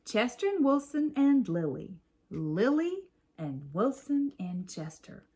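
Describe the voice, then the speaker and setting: A woman reading a children's story aloud in an expressive, measured storytelling manner. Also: a little high, breathy edge. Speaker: storybook reader.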